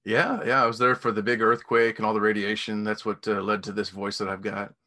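Bright-voiced easygoing male host. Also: on the low side, fairly quick. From Missouri. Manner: relaxed, easygoing, good-humored. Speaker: podcast host. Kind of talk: casual interview chat.

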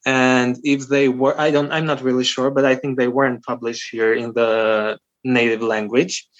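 A man's voice: medium pitch, little pitch movement, moderate pace. A man in his twenties from North Macedonia whose first language is Albanian, telling a story as a podcast guest with many fillers and restarts. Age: 20s. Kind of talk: casual interview. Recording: muffled lo-fi audio.

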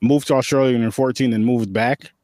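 A man's voice: medium pitch, fairly even intonation, quick pace, a little mellow, no room sound. Casual male interviewer, dry sound. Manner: casual, enthusiastic, stumbling reactions.

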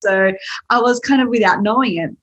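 Australian woman, husky, young adult, chatty podcast guest, quick asides. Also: on the high side, a bit bright, dull audio. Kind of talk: podcast interview.

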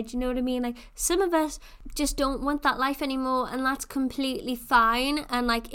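A woman's voice: on the high side, fairly bright tone, clear, resonant tone. A woman, hosting a casual solo podcast and chatting freely, with plenty of "like" and "you know.". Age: 20s. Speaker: podcast host.

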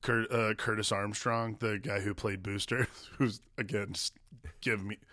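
Lowish, bright-voiced man in casual banter. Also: steady tone, measured pace, dry recording, studio quality. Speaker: podcast co-host. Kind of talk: casual comedic movie discussion.

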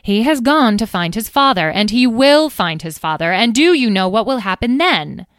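A woman's voice: on the low side, a little slow, no room sound, clean studio sound, lightly acted. A woman voicing a proud, imperious fairy leader in a storybook who snaps and lectures the others. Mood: lecturing. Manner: snappish and haughty.

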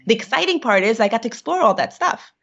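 A woman's voice: fairly high pitch, sing-song, fast, vocal fry, a bit bright. A woman in her thirties from New York, whose first language is Yiddish, telling her personal story in an interview, reflective and searching for words with many fillers. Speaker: guest. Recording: dull lo-fi recording.

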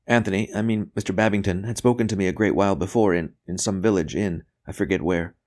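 A man voicing a captive young priest in an audiobook, frightened but steadfast, answering in a hushed, halting, guarded way. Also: low voice, lightly acted. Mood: hesitant, evasive. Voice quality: hushed, breathy murmur.

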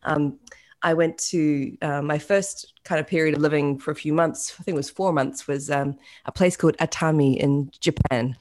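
A woman with a New Zealand accent and a low voice tells her story in a chatty, self-deprecating way, with plenty of ums and restarts. She speaks over a video call, as a guest on an interview show. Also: steady tone, normal speed, darkish tone. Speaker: guest musician.

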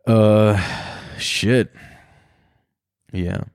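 A man's voice: on the low side, steady tone, very slow, creaky, darkish tone, smooth, dry recording, clean studio sound. A man hosting his own podcast, talking alone in his room in a rambling, reflective way and swearing freely. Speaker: podcast host. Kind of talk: casual solo podcast monologue.

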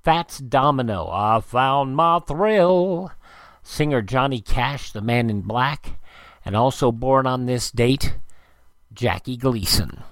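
A man's voice: mid-range voice, lively intonation, slow, a bit bright, dry recording. A man hosting a radio show, reading out dated items like an announcer and adding short asides. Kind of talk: radio narration of this day in history.

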